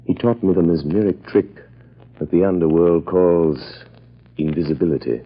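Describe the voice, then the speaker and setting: An actor playing a calm, grave crime fighter with measured, dramatic delivery in an old-time radio drama. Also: male voice, sits low, husky, muffled recording. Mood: grave, mysterious. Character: mysterious crime-fighting man, calm, grave, secretly invisible avenger. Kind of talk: 1930s radio acting.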